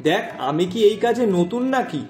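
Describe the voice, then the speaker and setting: A man's voice: high voice, animated, normal speed, a little mellow. A man voicing a kindly, reassuring middle-aged village fisherman father in a cartoon moral story, speaking affectionately. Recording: echoey voice track with music behind.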